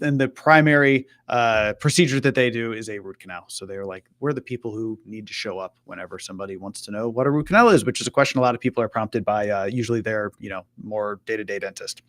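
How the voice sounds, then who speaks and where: A man's voice: fairly low pitch, a bit bright, dry recording. Man casually presenting, dry close sound. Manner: informal, voices imagined customer questions as examples. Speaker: presenter on a web marketing live show. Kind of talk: conversational screen-share walkthrough.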